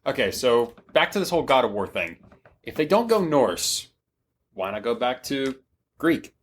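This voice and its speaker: A man's voice: medium pitch, very animated, normal speed, breathy edge. A man chatting casually and conversationally as a podcast co-host. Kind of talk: casual conversational chat, some swearing.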